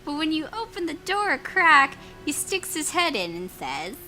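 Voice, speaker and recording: A woman's voice: high-pitched, sing-song, normal speed, bright, forceful tone, faint music behind. A woman co-hosting a casual let's play, reading a story game aloud expressively and adding amused asides.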